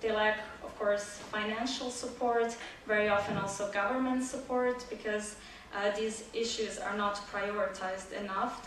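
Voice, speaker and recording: A woman's voice: mid-range voice, a bit bright, a little hiss or hum. Croatian woman lecturing in an echoey hall. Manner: measured, with frequent "uh" fillers. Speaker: conference speaker, PhD student. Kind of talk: academic conference talk. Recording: echoey hall audio from far off.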